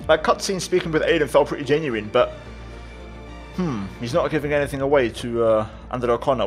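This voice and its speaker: A man hosting a gaming video, giving casual, chatty commentary and thinking aloud as he plays. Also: medium pitch.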